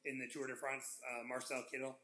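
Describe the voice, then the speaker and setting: Podcast co-host, man with a sharp bright nasal voice, joking, halting delivery. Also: mid-range voice, flat delivery. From Southeast United States. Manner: rambling, halting with restarts and joking asides. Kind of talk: casual sports chat.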